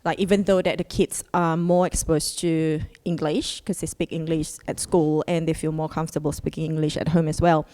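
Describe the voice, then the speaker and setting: A woman's voice: low-pitched, little pitch movement, moderate pace, hardly any pauses, dark tone, long reverb tail. A woman, a podcast guest, who chats casually and fills her talk with "like" and "um". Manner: chatty, with frequent "like" and "um". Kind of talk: casual interview answers.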